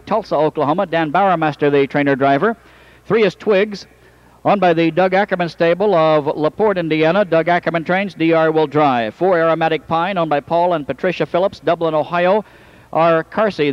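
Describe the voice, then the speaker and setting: A man announcing a harness race, introducing the field and then calling the running in a rapid rhythmic style that builds toward the finish. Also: a little high, no echo, lo-fi sound.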